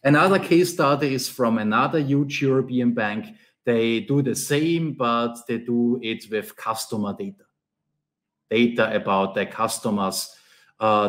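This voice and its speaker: A man from Austria with an Austrian German accent, a conference speaker explaining software approaches in an explanatory, repetitive way with non-native phrasing. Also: on the low side. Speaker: conference speaker, Angular trainer and consultant. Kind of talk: conference talk.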